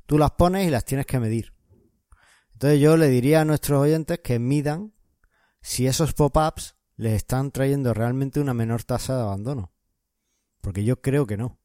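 A man's voice: medium pitch, moderate pace, dark tone, no echo, clean studio sound. A man from co-hosting a podcast, explaining and advising listeners in casual chat. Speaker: podcast co-host.

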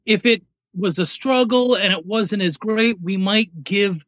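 A man with a notably high voice talks as a guest on a podcast, explaining things in a casual, conversational way. The sound is dry and a little muffled. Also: unhurried, a bit bright, dry recording. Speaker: podcast guest, writer and editor.

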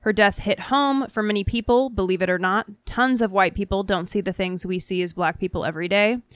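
A woman co-hosting a podcast, reading listener messages aloud in a measured, articulate manner. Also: mid-range voice, smooth, dry recording, clean studio sound. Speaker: podcast co-host.

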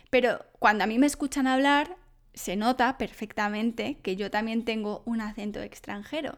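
Woman from Spain, Spanish accent, lively chatty storyteller, fairly high pitch. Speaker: vlogger living abroad. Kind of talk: casual chat to camera.

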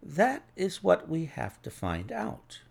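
A man voicing a cool, methodical detective in an audiobook, measured, precise and authoritative. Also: a little high, huge pitch swings, creaky, darkish tone, pitched above the performer's own voice, heavily acted. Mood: assured, analytical. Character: cool, methodical male detective, assured and analytical.

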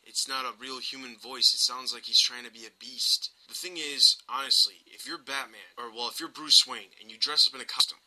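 A man's voice: mid-range voice, steady tone, fairly quick, few pauses, sharp tone, low-quality recording. A man hosting a podcast, talking casually about film in an emphatic, opinionated way. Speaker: podcast host.

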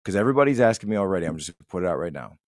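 A man's voice: sits low, very animated, racing, darkish tone, dry recording, clean studio sound. A man giving conversational political commentary as a podcast guest, emphatic and repeating phrases to drive points home.

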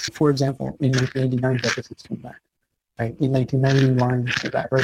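A man answering interview questions about history, measured and explanatory, often checking in with "right?". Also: medium pitch, a little mellow, slight background noise, poor audio. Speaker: historian guest.

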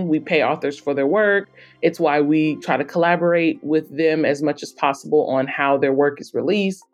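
A woman's voice: low-pitched, darkish tone. Woman guest talking over faint music. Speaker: podcast guest, author and editor-in-chief. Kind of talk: conversational interview answers.

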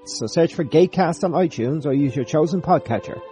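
A man's voice: a little high, fairly quick. A British man reading a scripted podcast promo as one of its hosts. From Britain. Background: music.

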